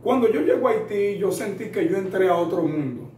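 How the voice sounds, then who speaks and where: A man's voice: sits high, animated, brisk, a little mellow. A man in his thirties from the Dominican Republic with a Dominican Spanish accent, a preacher telling his story earnestly as an interview guest, addressing the listener formally and using many fillers. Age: 30s. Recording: roomy echo, distant mic, faint noise.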